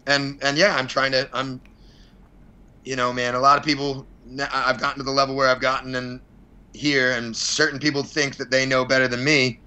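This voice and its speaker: A man's voice: medium pitch, level tone, fairly quick, pushed and bright, smooth, low-quality recording. A man being interviewed as a podcast guest, chatting casually and rambling through blunt, opinionated answers with some mild swearing. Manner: blunt, opinionated, mildly profane. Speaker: podcast guest, fight promoter.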